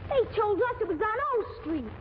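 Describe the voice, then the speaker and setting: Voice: very high-pitched, animated, normal speed. A performer playing an eager, brash little boy with earnest, slangy exclamations in 1940s radio comedy. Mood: earnest, insistent. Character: eager, brash little boy visiting Washington, streetwise kid. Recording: tinny, noisy 1940s radio recording.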